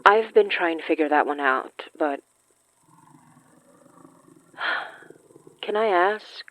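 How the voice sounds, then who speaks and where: A woman's voice: on the low side, expressive, very slow, a bit bright, heavily acted, poor audio. An actress playing an earnest, troubled young woman, speaking hesitantly and thoughtfully in a naturalistic audio drama. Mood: uncertain.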